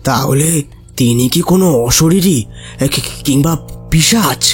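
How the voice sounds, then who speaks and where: Actor as high-voiced, curious, spooked man. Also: a little slow, faint music behind, lightly acted. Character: curious, spooked male listener questioning the tantric storyteller.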